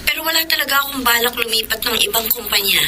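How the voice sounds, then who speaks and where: A trusting, grateful young secretary, played by a woman in a classic radio drama. Her voice is high and sweet, and she speaks earnestly. A steady hiss sits under the recording. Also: fast talker, lightly acted.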